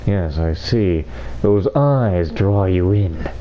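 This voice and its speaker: Very low-voiced, mellow woman gaming. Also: lively intonation, slow pace, a little hiss or hum. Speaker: gamer. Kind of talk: casual playthrough commentary.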